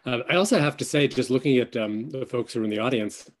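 Casting: man in his 60s, congested and nasal, halting guest speaker on a video call. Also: mid-range voice. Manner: hesitant, many ums, self-deprecating. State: stuffy, nasal from a cold. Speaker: guest author. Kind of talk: virtual event talk.

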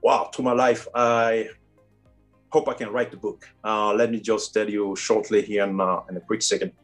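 A man's voice: lowish voice, flat delivery, moderate pace, soft background music. A man in his forties from Cameroon with a Cameroonian French accent, answering interview questions earnestly with frequent fillers. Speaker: airline first officer, guest.

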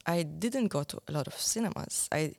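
A young woman whose voice sits a little low. She talks casually as a guest filmmaker, restarting sentences and hunting for the right word as she goes. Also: expressive, normal speed, gravelly, a little mellow. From Syria. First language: Arabic. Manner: searching for words, many restarts. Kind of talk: casual conversation.

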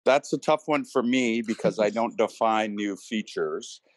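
Hesitant, low-voiced man, dry sound. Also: dry recording. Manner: hesitant, halting. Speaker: company team member being interviewed. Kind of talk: casual interview talk.

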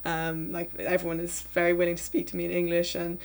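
A woman's voice: lowish voice, completely flat delivery, fast talker, creaky, a little mellow. A young woman chatting casually as a student host, thinking aloud and hedging as she goes. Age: young adult. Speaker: student host asking questions. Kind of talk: casual chat.

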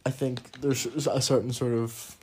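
A man's voice: medium pitch, level tone, a little slow, mellow, a little hiss or hum. A young man co-hosting a casual chat, talking loosely with many fillers and leading the questions. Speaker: podcast co-host.

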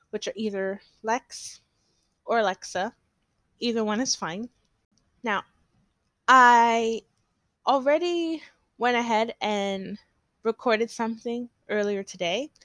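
Slow, stuffy-voiced woman podcast host. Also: fairly high pitch, a bit bright, no room sound. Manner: rambling and hesitant, many fillers and restarts. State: stuffy from allergies. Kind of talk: casual solo podcast chat.

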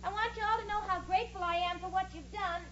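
A woman's voice: very high voice, steady tone, quick pace, a bit bright. An actress playing a sensible, sarcastic young woman, impatient and easily exasperated, in a 1940s radio comedy. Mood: grateful. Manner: dry jabs, exasperated urgency. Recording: muffled noisy old radio recording, studio audience.

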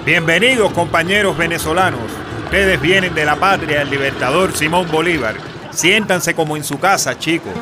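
An actor playing a welcoming, inquisitive revolutionary official in a radio drama. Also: male voice, a little high, normal speed, loud music behind the voice, lightly acted. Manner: courteous, probing.

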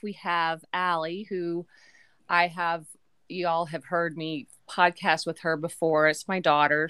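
A woman's voice: on the low side, a bit bright, smooth, no echo. Chatty woman hosting a family podcast. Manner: chatty, laughs often. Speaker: podcast host. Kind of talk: casual family chat. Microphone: remote call.

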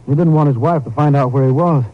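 An actor playing a folksy, hesitant rancher with colloquial country speech in a 1950s radio drama. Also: male voice, a little high, fast talker, close to an everyday voice. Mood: sheepish, confessing. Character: folksy rancher, hesitant friend covering for someone. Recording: dull 1950s radio recording.

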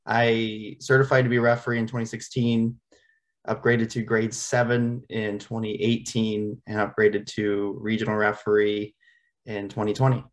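A man's voice: fairly low pitch, level tone, normal speed. A man in his twenties, a college student and referee, introducing himself matter-of-factly. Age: 20s. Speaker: referee guest.

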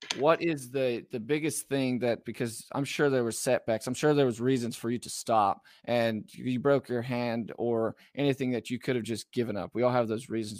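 A man's voice: medium pitch, no echo. A man in his twenties hosting a podcast interview, upbeat and eager, with constant affirmations. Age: 20s. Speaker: podcast host. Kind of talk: interviewing, casual chat.

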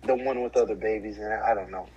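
A man's voice: fairly low pitch, steady tone, quick pace, highs cut off. A man from Georgia answering casual interview questions in a laid-back, joking way. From Decatur, Georgia. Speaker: interviewee, boyfriend.

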